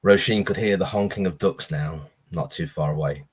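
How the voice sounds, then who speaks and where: A man's voice: low-pitched, normal speed, slightly rough. A man who is an author, giving a live reading of his own pulpy horror story.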